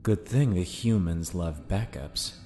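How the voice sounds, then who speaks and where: A man voicing a secretive infiltrator soldier reporting to his commander, in a low, measured voice. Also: dark tone, slight background noise. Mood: guarded. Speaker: voice actor in fan audio drama.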